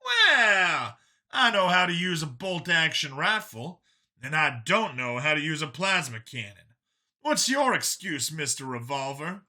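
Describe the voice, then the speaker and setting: A man's voice: a little high, sing-song, a little slow, sharp tone, full character voice. A man voicing a brash, cocky human medic and hunter in an audiobook, combative and folksy in his talk. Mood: indignant. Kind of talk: acted audiobook dialogue.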